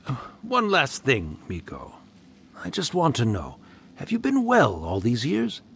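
A wry, weary old spirit. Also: male voice, mid-range voice, huge pitch swings, a little slow, creaky, a bit bright, husky, exaggerated voice. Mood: tender. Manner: wry, resigned, fond. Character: ancient fading tanuki storyteller spirit, wry and weary. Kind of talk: video game voice acting.

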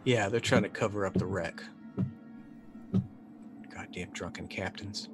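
A man in his thirties talking casually as a tabletop game player, asking questions and thinking out loud. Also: deep voice, a little slow, over loud music. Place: indoor room.

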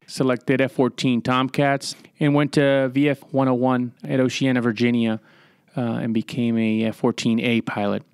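A middle-aged man from Puerto Rico, a former Navy captain, giving measured, matter-of-fact answers as a podcast guest with frequent pauses and "uh" fillers. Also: medium pitch, a little mellow, clear, resonant tone, dry recording, studio quality. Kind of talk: conversational interview answers.

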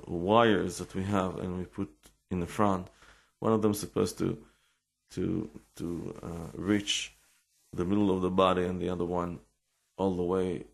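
A middle-aged Israeli man with an accent, telling his life story in halting English full of restarts and fillers. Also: sits low, creaky. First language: Hebrew. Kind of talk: personal storytelling and explanation.

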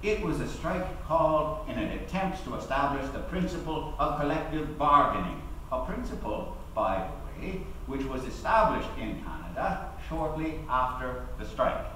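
A man's voice: a little high, animated, pushed and bright. Man acting declamatory labour orator in echoing hall. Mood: earnest, declamatory. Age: middle-aged. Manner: formal, declamatory, deliberate, with emphatic pauses. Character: proud, eloquent male labour activist recalling his trial. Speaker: actor giving a dramatic reading. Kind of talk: theatrical courtroom-style oratory at a lectern. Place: large auditorium, at a lectern. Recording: very echoey hall, distant mic, faint noise.

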